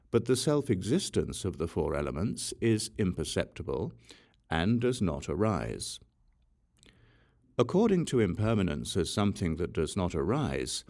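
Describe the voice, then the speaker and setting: A man reading a religious text aloud as an audiobook, in a measured, solemn and emphatic way. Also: low-pitched, a little mellow. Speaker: audiobook reader.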